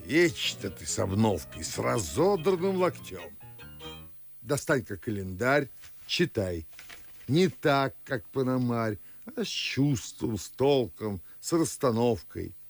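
An actor playing a fussy, grumbling older Moscow father and official in a verse radio play, with drawn-out declamatory delivery. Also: medium pitch, sing-song, creaky, faint music behind, heavily acted. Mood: pompous musing. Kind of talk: theatrical audio drama in verse.

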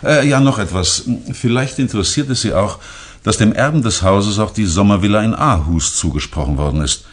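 An actor as a low, husky, guarded lawyer. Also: male voice, close to an everyday voice. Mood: measured, evasive. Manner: formal, measured, guarded. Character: composed, guarded male lawyer and notary. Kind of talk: German radio drama acting.

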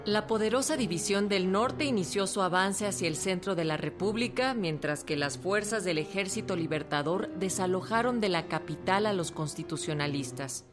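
A woman's voice: medium pitch, normal speed, few pauses, music in the background. A woman reading scripted historical narration for a radio production.

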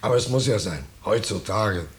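An actor playing an ingratiating vagrant in a 1950s German radio play, speaking with unctuous false modesty and quiet menace. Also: male voice, on the low side, moderate pace, breathy edge. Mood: insinuating. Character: ingratiating vagrant stranger, slyly menacing, mock-humble. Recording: noisy 1950s radio drama recording.

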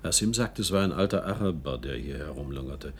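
A man's voice: extremely low pitch, lively intonation, brisk, slight background noise. An actor in a German radio play playing an inquisitive, gossipy man with an insistent, suspicious, probing manner. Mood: conspiratorial, suspicious. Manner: probing, insinuating, emphatic.

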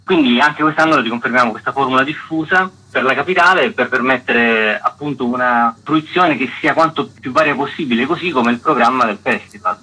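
A man's voice: medium pitch, a little fast, hardly any pauses, sharp tone, breathy edge, poor audio. A man speaking as a festival director, explaining things as a guest in a radio interview.

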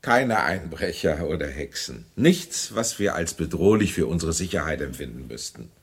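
An actor playing a genteel, fussy, middle-aged general and father in a German radio drama, courtly and husky. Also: extremely low pitch, normal speed, exaggerated voice. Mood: genial reassurance. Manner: courtly, animated.

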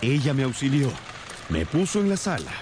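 A man's voice: mid-range voice, wildly swooping pitch, normal speed, noisy background. An actor playing a confident, commanding detective in a radio drama, assured and precise as he instructs. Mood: self-assured, explaining. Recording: music behind the voice.

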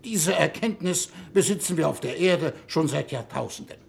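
On a faintly noisy old radio drama recording, a gravelly male envoy from Earth. He is weary yet eloquent and easily indignant, speaking in theatrical, rhetorical phrases. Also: fairly high pitch, expressive, moderate pace. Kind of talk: 1960s German-language radio drama acting.

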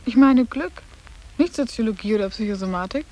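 A woman plays a defiant, sarcastic schoolgirl in a radio drama. Her voice is high and pert, and she recites her lines precisely. Long echoes hang around it, as in an empty hall. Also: fairly quick, darkish tone, close to an everyday voice. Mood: earnest, insistent. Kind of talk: literary radio drama acting. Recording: echoing, reverberant hall.

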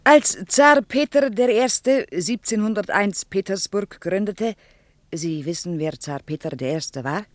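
An actress plays an earnest Soviet tour guide, a woman in her thirties. She speaks with a Russian accent, drops her articles and explains things in a didactic, slightly shy way. The radio drama sound is dry, and her pitch sits in the middle. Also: a little slow, dry recording, lightly acted. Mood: earnest lecturing. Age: 30s.

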